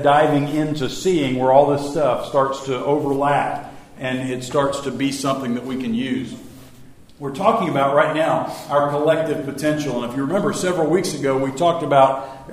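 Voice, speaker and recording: A middle-aged man, a pastor giving a casual Wednesday night church talk, slightly hoarse, folksy and self-deprecating with many fillers. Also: fairly high pitch. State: a little hoarse. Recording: roomy echo.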